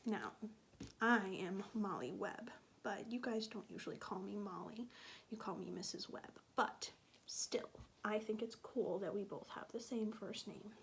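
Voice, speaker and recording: A woman teacher reading a picture book to young children, explaining patiently and pausing to ask them questions. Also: medium pitch, vocal fry, a little hiss or hum. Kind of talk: children's read-aloud with questions to listeners.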